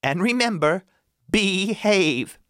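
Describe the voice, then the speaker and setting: A man voicing a caring mother goat in a storybook with a high, sing-song voice. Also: lively intonation, takes it slowly, fairly bright tone, clean tone, dry recording, studio quality, full character voice. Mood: fussy.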